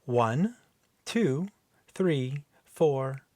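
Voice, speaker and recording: A man voicing a gentle woman hypnotist with a soft, kind voice. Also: medium pitch, lively intonation, drawn-out words, dry recording. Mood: coaxing.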